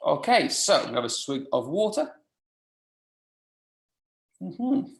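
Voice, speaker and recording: Coach, roomy echoing sound. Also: male voice, fairly high pitch, sing-song, slow pace, creaky. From rural mid Wales. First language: Welsh. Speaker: fitness coach presenting a webinar. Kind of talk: informal live online presentation. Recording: roomy, echoing live webinar audio.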